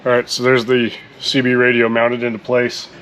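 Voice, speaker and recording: A man hosting a how-to video, casually talking through his work step by step with relaxed fillers. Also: mid-range voice, normal speed, soft background music. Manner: relaxed, thinking aloud with fillers. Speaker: vlog host.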